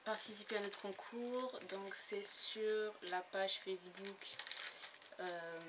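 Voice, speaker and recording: A woman's voice: mid-range voice, fairly even intonation, slow pace. Giggly French-speaking woman, noisy recording. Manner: chatty, giggly, many fillers and restarts. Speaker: book blogger. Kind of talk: casual book haul vlog.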